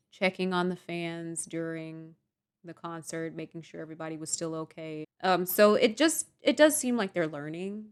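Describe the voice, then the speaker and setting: Soft-spoken woman vlogger, low voice. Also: smooth. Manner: rambling, self-deprecating, soft-spoken. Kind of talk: casual storytime monologue.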